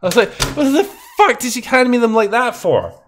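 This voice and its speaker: Young Scottish host, casual and rambling, swears freely, restarts sentences. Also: male voice, extremely high pitch, big pitch swings, a little fast, noisy background. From Scotland. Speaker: stream host. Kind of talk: casual chat on a live stream.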